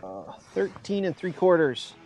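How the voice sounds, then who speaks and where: A man in his forties hosting a casual fishing vlog from a boat on a lake, chatting excitedly to the camera. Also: high voice, unhurried. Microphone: action camera on the boat. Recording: wind and water noise. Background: wind and water noise.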